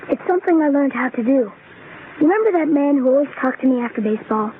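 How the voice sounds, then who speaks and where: A woman's voice: high voice, expressive, quick pace. A woman playing a casual, matter-of-fact young boy who is innocent yet sinister, in a radio horror drama. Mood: casual, coaxing. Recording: tinny, noisy old-radio sound.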